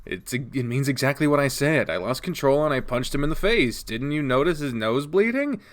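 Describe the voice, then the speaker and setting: A man's voice: mid-range voice, expressive, moderate pace, close to an everyday voice. A man voicing a guarded teen boy. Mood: subdued. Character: troubled teen boy athlete, quiet, guarded.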